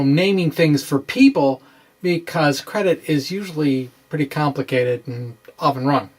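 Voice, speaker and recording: A middle-aged man presenting an informal science explainer, with halting, emphatic delivery and wry asides. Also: a little high. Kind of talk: informal dramatic reading of a physics explainer. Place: small indoor room with bookshelves. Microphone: microphone on stand close in front.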